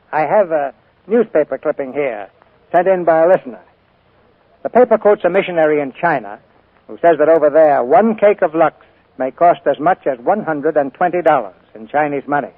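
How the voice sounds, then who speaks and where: A man announcing and narrating a radio drama in a smooth, measured, theatrical 1940s broadcast style. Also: a little high, dry recording, phone-quality sound.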